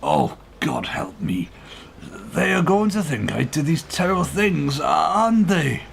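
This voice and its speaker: Radio play casting: man to play a pious, nervous priest, slow and gravelly, gentle and troubled. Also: fairly high pitch, sing-song, slight background noise, full character voice. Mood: worried, pleading. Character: anxious, pious, gentle male clergyman suspected of murder.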